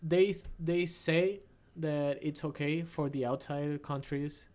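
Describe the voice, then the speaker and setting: A man's voice: on the high side, steady tone, takes it slowly, a little mellow. A man from Peru with a Peruvian Spanish accent, chatting casually as an interview guest and searching for his words in English. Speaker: podcast guest, travelling artist.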